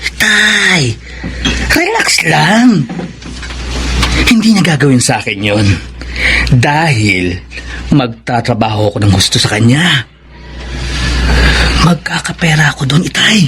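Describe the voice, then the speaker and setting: Radio drama: cocky young Filipino underling, unhurried and swaggering, lively swooping delivery, noisy audio. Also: male voice, fairly high pitch. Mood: cocky, dismissive. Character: cocky young henchman, boastful son.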